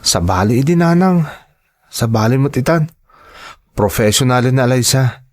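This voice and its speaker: A man plays an insecure young male worker in a family audio drama. His delivery is earnest and plaintive, in a medium-pitched voice with a downhearted temper. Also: lively intonation, normal speed, close to an everyday voice.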